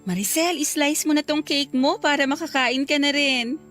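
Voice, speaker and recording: A woman's voice: sits high, moderate pace, a bit bright, soft background music. An actress playing a caring, tender mother of a young girl in a Filipino radio drama. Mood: gentle, caring.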